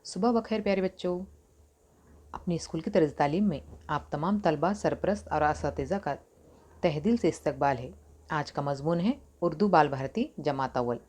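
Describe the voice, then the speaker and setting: Woman teacher with an Indian Urdu accent and a slightly low voice, coaxing small children through a lesson. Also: a little mellow. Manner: coaxing, asks questions and answers them herself; stretches words and repeats them slowly for children. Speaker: primary school Urdu teacher. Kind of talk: online lesson for young children.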